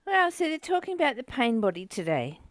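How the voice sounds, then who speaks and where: A woman reading aloud and explaining a spiritual text as the host of a study group, in a deliberate, informal teaching way. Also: high voice, sing-song, no room sound.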